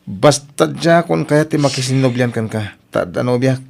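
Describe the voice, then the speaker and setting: A man's voice: medium pitch, expressive, moderate pace, vocal fry, a little mellow. A man with an Ilocano accent acting an angry, hurt husband in a radio drama.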